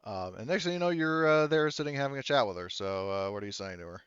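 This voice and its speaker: A man's voice: mid-range voice, animated, quick pace, fairly bright tone, smooth, dry recording. A man working as game master, narrating a tabletop game in a casual, hesitant way on slightly dull voice-chat audio.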